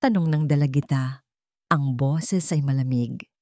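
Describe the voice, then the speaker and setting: A woman's voice: extremely low pitch, unhurried, warm tone, no echo, clean studio sound. A woman with a Filipino accent narrating a scripted romance story.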